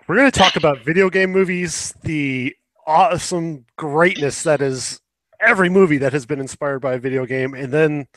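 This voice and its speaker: A man's voice: mid-range voice, dry recording. A man hosting a podcast, chatting casually with plenty of swearing and sarcastic exaggeration. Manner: sarcastic, hyperbolic. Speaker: podcast host. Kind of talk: casual, profane podcast chat.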